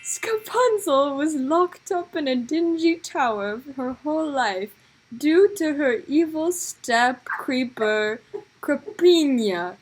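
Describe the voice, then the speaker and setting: A woman's voice: high voice, expressive, takes it slowly, rough recording. A woman improvising comic stories as a storyteller, with a dramatic, sometimes hesitant delivery. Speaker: storyteller of improvised puppet shows.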